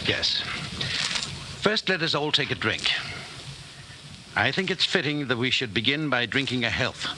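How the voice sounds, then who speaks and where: A suave, senior party official in a 1940s radio play, acted by a man. He speaks with urbane deliberation and pauses, his pitch moving freely, on a noisy old broadcast recording. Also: expressive, close to an everyday voice. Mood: calm, confiding. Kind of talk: 1940s radio drama acting.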